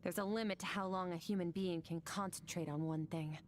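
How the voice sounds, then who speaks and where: Calm female game mentor, voiced by a woman, low and measured, faint hiss behind. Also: natural voice. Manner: calm, instructive.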